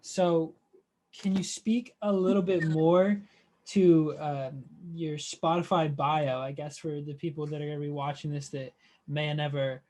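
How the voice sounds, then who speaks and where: A man's voice: fairly high pitch, flat delivery, measured pace, darkish tone. A man hosting an interview over a video call, easygoing and full of fillers. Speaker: interview host. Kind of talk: casual interview chat.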